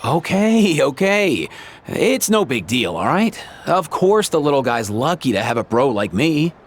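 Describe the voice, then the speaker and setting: A man's voice: on the high side, sing-song, unhurried, a little hiss or hum. An actor playing a casual, brotherly young man in a video game, slangy and a little bashful. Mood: sheepish.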